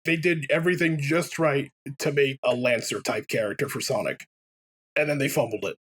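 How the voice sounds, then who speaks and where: A man's voice: medium pitch, moderate pace, fairly bright tone, breathy edge. A man co-hosting a let's play, chatting casually and jokily about games. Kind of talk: casual gaming chat.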